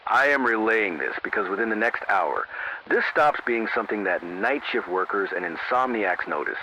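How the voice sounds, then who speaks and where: Man acting as sober AM emergency announcer: low, forceful, formal and measured, analog horror radio drama. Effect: AM radio filter. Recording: narrow-band AM radio with static noise.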